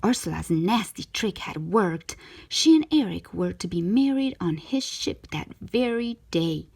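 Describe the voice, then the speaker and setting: Female storyteller for children's picture books, breathy tone, expressive reading at an unhurried, very slow pace. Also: on the low side.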